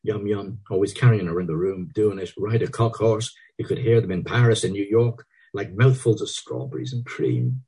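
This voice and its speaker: A man's voice: fairly low pitch, expressive, normal speed, few pauses, dark tone, breathy edge, low-quality recording. A man voicing a young barmaid who relishes every word eagerly. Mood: relishing. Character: greedy, giggly young barmaid.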